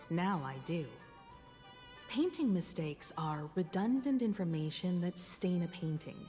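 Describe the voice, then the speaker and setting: Composed, lower-pitched game strategist. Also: female voice, a little mellow, long reverb tail. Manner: measured, explanatory. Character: composed, knowledgeable grown woman, game scholar-strategist. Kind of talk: video game voice acting.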